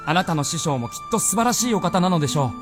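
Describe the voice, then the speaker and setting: Man acting an earnest youth, slightly high. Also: expressive, fast talker, music in the background, close to an everyday voice. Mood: earnest gratitude. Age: young adult. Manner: sincere, respectful. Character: earnest, polite, determined young peasant youth bent on revenge. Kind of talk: Japanese period radio drama.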